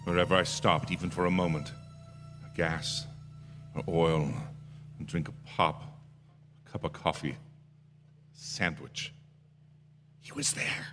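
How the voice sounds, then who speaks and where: A man acting out a haunted young driver in a radio suspense play, his voice forceful and sharp-edged. He speaks haltingly, sometimes stammering, full of dread. The sound rings in a big theatre hall, with music around it. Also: low voice. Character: haunted, desperate young male motorist unravelling with dread. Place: theatre stage. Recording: live theatre stage, long hall reverb, music.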